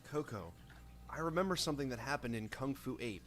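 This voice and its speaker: A man playing an improvised tabletop game, speaking in a halting, thinking-aloud way. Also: medium pitch, expressive, fairly bright tone, a little hiss or hum. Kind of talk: casual improvised role-play.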